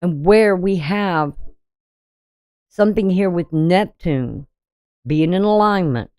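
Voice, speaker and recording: A woman with a deep voice giving a casual daily astrology reading, talking bluntly and conversationally with frequent "you know". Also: animated, slow pace, dark tone, clean tone, dry recording, studio quality. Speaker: astrology channel host.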